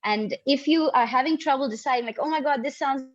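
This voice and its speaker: A woman's voice: high voice, quick pace, no echo. A young woman on a panel giving earnest, encouraging advice over a video call, often using "like" as a filler. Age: young adult. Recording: dull lo-fi sound.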